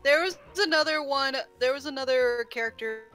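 A woman's voice: sits high, normal speed, fairly bright tone, faint music behind. A woman chatting casually with her co-streamers over a game stream, laughing often and sometimes searching for words.

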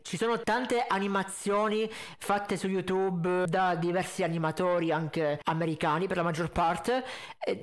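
A man giving casual, critical and emphatic reaction commentary. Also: high voice. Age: 20s. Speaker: reaction video host. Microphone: close desk condenser mic with pop filter, headset.

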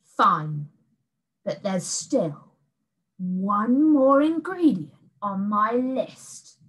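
A woman's voice: medium pitch, big pitch swings, low-quality recording. A woman voicing a hungry, grumpy male beast who growls and huffs over his soup pot. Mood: menacing. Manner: slow, growling, huffy.

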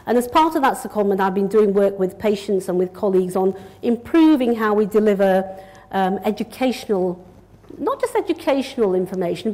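A woman's voice: medium pitch, darkish tone. A middle-aged woman from Yorkshire, a kidney doctor, giving a public lecture in a direct, organised, conversational way at a lectern mic. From Yorkshire, England. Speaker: consultant nephrologist. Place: lecture room, indoors.

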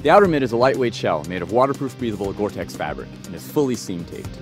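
A man's voice: lowish voice, very animated, fast, faint music behind. A man in his thirties, an athlete ambassador, enthusiastically presenting winter mitts outdoors in the snow. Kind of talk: product presentation. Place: outdoors on a snowy mountain.

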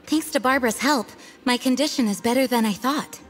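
Woman playing a composed, higher-voiced knight commander. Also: close to an everyday voice. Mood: grateful, reflective. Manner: earnest, gracious, measured. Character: dutiful, composed young female knight commander. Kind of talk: anime game voice acting.

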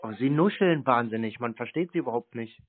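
A man's voice: mid-range voice, expressive, fairly quick. Curt man on a tinny phone line. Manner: curt, stonewalling, contrary. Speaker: scam-baiter taking phone calls. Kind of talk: phone conversation. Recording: tinny phone-quality audio.

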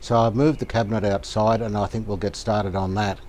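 An older man calmly talking through a restoration job outdoors, thinking aloud as he works. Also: fairly low pitch, a little hiss or hum. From Australia. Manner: thinking aloud, calm and unhurried, plain-spoken with fillers. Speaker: radio restorer, channel host. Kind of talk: casual hands-on workshop narration. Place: outdoor verandah in the garden.

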